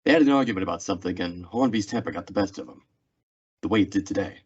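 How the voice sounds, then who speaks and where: A man playing a stoic, plainspoken Old West lawman in a radio western, terse, restrained and polite, with a husky voice. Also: deep voice, expressive, quick pace. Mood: grim, matter-of-fact. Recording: dull lo-fi radio drama recording.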